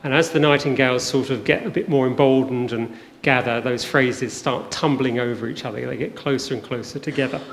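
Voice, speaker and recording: A man's voice: medium pitch, brisk, creaky, hardly any pauses. A man acting as master of ceremonies, introducing a concert conversationally with many hesitant ums. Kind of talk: informal spoken introduction to a concert. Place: inside a church at a live event. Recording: reverberant church, faint background noise.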